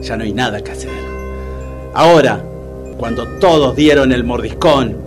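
A man's voice: medium pitch, over music, natural voice. An actor playing a gloomy, self-pitying Argentine man who mocks and menaces theatrically in a comic audio drama, with a Rioplatense accent. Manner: dramatic, teasing, drawn-out menace.

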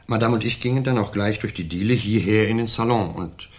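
A low-pitched, discreet gentleman , played by a male actor. He is formal, reserved and a little uneasy, in the manner of a 1970s radio crime drama. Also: fairly quick, natural voice.

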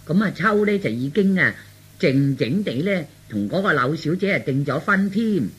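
A man's voice: on the high side, big pitch swings, moderate pace, fairly bright tone, husky, heavily acted. A man voicing a meddling middle-aged aunt in Cantonese radio storytelling, earnest, insistent and colloquial. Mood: explanatory. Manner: insistent, colloquial, full of rhetorical tags.

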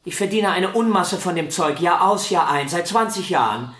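An actor playing a vain, stubborn star violinist in a German radio play, arguing in a self-important, theatrical way. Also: male voice, sits high, lively intonation, normal speed, a bit bright. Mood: haughty. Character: vain, stubborn, celebrated male violinist arguing with his sister. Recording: roomy indoor echo.

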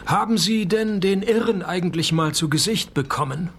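An actor playing a laconic, wisecracking private detective in a radio play, dry and sardonic. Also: male voice, sits high, moderate pace, fairly bright tone, a little hiss or hum. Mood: dry sarcasm. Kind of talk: radio play narration and dialogue.

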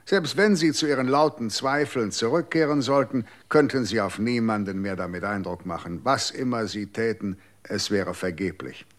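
An actor playing a calm, dismissive official who lectures on the law in a radio drama. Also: male voice, on the low side, moderate pace, hardly any pauses, close to an everyday voice. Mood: cold. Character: cold, condescending official defending the law.

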